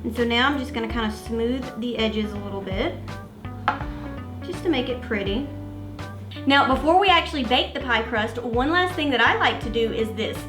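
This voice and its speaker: A woman's voice: fairly high pitch, moderate pace, echoey room, music in the background. A young woman with a Mississippi Southern accent hosting a casual cooking tutorial, explaining each step in a chatty, friendly way. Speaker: cooking vlogger host.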